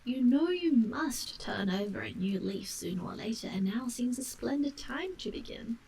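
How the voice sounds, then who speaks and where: A woman's voice: on the high side, animated, moderate pace, vocal fry, darkish tone, distant mic, a little hiss or hum, rough recording. A woman voicing a firm but kind-hearted male water rat, earnest and reasoning, in a storybook.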